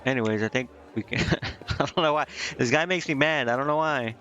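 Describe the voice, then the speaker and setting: A man's voice: medium pitch, brisk, a bit bright, lo-fi sound. A man doing casual video game commentary as a streamer, thinking aloud in a rambling, hesitant way. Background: game music.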